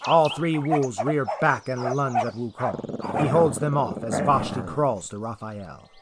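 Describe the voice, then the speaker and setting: A man reads scripted fantasy narration in a medium-pitched voice. The recording has loud noise behind him throughout. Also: a little slow.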